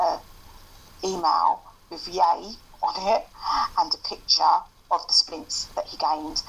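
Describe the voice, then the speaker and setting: Calm gravelly-voiced man, halting. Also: fairly high pitch, a little hiss or hum, low-quality recording. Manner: calm, hesitant, many fillers. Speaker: advocate. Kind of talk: casual interview answers.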